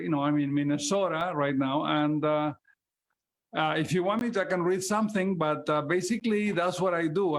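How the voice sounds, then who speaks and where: A man's voice: fairly high pitch, a little hiss or hum. A man from Argentina with an Argentine accent, a poet and translator speaking and reading poems at an online open mic. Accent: Argentine Spanish.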